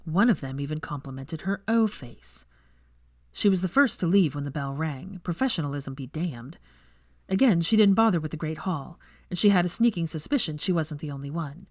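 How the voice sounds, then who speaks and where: A woman's voice: low voice, lively intonation, moderate pace, darkish tone, no echo, studio quality. A woman reading fiction aloud as a narrator, in a scripted audiobook style.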